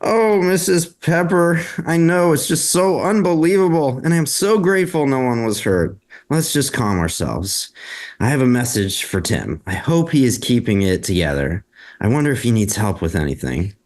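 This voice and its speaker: A man's voice: medium pitch, animated, normal speed, darkish tone, close to an everyday voice. A man playing an affectionate, caring young boyfriend at a script table read. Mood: concerned. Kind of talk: amateur table read dialogue.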